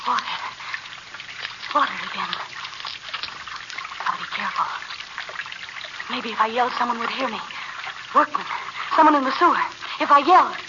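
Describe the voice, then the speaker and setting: A woman's voice: fairly high pitch, fast talker, close to an everyday voice. An actress playing a frightened yet defiant captive woman in a melodramatic, urgent old radio horror style. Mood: panicked muttering. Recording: very noisy, dull old radio recording.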